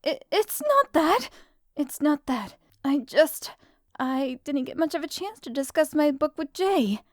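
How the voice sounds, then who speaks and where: A woman's voice: high voice, sing-song, measured pace, dry recording, clean studio sound. A woman voicing a shy, anxious teenage schoolgirl in an anime visual novel fan dub, hesitant, stammering and polite. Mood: nervous, hesitant.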